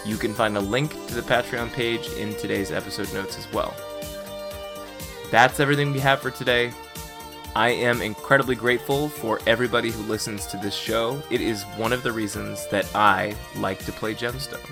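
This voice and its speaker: A man's voice: on the low side, steady tone, normal speed, over music. A man hosting a podcast in a casual, conversational style. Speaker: podcast host.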